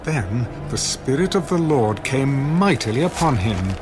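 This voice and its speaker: A man's voice: mid-range voice, very animated, unhurried, over music. A man narrating scripture in a solemn, dramatic storytelling style for an audio production.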